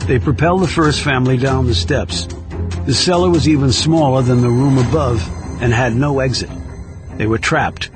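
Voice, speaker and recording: A man's voice: mid-range voice, normal speed. A man reading a thriller audiobook as narrator, in a scripted storytelling style. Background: music under the reading.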